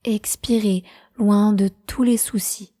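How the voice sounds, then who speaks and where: A woman leading a guided prenatal meditation as a teacher, speaking in a calm, measured, soothing way. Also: mid-range voice.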